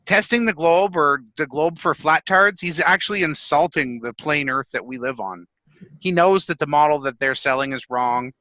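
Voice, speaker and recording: Bright, opinionated man on a call. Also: a little high, no echo. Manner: intense, opinionated, stumbling restarts. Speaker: vlogger on a group call. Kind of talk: casual group call.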